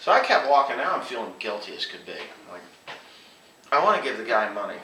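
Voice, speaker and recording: A man's voice: mid-range voice, very animated, fairly quick, sharp tone. A man casually telling anecdotes, acting out bits of quoted dialogue as he goes. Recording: roomy, distant mic, faint noise.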